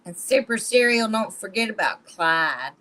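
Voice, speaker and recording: Woman guest on a livestream, casual and familiar, uses pet names, average pitch. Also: expressive, moderate pace, bright, forceful tone.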